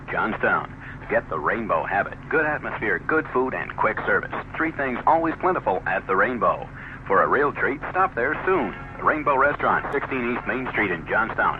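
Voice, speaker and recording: A man reading a scripted vintage radio advertisement as a commercial announcer. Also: mid-range voice. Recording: narrowband vintage radio recording. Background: music.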